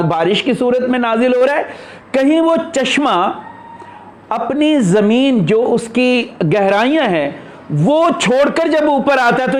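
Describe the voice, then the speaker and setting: A man giving an unscripted spiritual discourse as a teacher, explaining in short, broken phrases. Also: very high voice.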